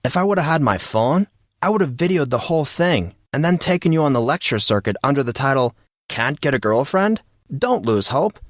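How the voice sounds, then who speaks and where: Teenage boy, acted by a man, raised pitch, teasing, excitable, mock-dramatic, audio drama, dry sound. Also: brisk, dry recording. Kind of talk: audio drama character dialogue.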